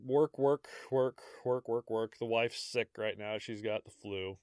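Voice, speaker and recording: A man's voice: medium pitch, a little slow, a little mellow, clean tone, dry recording, clean studio sound. An American man co-hosting a podcast, a little congested, chatting casually and laughing through stories with plenty of swearing. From United States. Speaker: podcast co-host. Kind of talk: casual chat.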